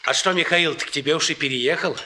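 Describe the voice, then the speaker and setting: An actor playing a wry, nosy small-town storekeeper in a 1960s radio play, talking in terse colloquial questions. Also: male voice, on the high side, fast, bright, forceful tone, slight background noise. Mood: curious, sceptical. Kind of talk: naturalistic 1960s Soviet radio play acting.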